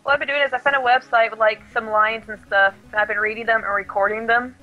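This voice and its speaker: Chatty young woman, high voice. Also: fairly even intonation, fast talker, phone-quality sound. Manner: chatty, laughs easily. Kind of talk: casual chat.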